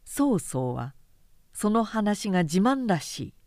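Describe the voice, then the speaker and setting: A woman narrating a literary audiobook in a measured, expressive, dramatic storytelling manner. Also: mid-range voice, no echo, clean studio sound. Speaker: narrator, reader.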